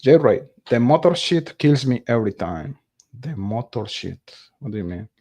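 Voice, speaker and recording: A man who is not a native English speaker, hosting a casual live stream, reading viewer comments aloud and pausing often to search for words. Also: mid-range voice, animated, dark tone. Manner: halting, searches for words, many fillers. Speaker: livestream host reading viewer chat.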